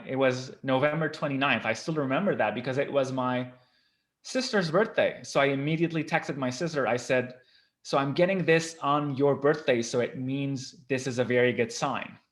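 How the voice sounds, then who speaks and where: A man's voice: mid-range voice, moderate pace. A man whose first language is Armenian, a guest professor, recounting his career in a casual interview, earnest and frank with frequent ums. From Lebanon.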